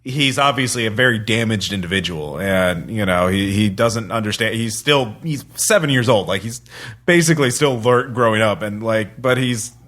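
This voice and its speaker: A man's voice: fairly low pitch, animated, moderate pace, a bit bright. A man chatting casually as a podcast co-host, rambling, repeating phrases and swearing freely. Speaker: podcast co-host and tabletop player. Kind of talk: casual chat.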